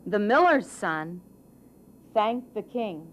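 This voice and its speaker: A woman storyteller giving an expressive, deliberate scripted narration of a children's fairy tale. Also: mid-range voice, slight background noise.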